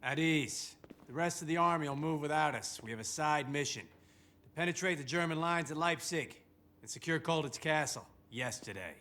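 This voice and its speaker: An actor playing a resolute American general in a military game cutscene, terse and matter-of-fact. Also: male voice, a little high, moderate pace, sharp tone. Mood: commanding. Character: gruff, resolute male American general leading his troops.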